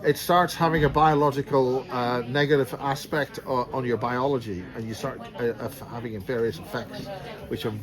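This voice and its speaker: A man's voice: mid-range voice, steady tone, moderate pace. A man with a Belfast accent, talking in an emphatic, rambling way in a casual conversation outdoors. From Northern Ireland. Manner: rambling, emphatic, jokey teasing. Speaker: speaker on secret space programs. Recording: loud crowd noise behind.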